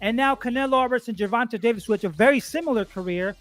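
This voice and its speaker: A man's voice: very high-pitched, fast, a bit bright. A man in his forties arguing boxing, insistent, repeating his points and stumbling over words. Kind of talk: heated boxing argument.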